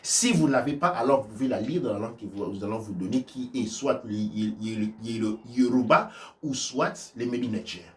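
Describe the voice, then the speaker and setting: A man giving religious teaching on offerings and prayers, speaking haltingly with many restarts and sudden shifts between loud and soft. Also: medium pitch, far from the mic. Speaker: spiritual teacher. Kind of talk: religious teaching in a live stream.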